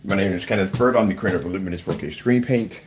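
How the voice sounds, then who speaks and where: A man with a deep morning voice, casually showing his screen paint projects around his home, talking loosely with many fillers and restarts. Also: quick pace, a little hiss or hum. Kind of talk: casual walkthrough vlog. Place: at home, moving between rooms and basement.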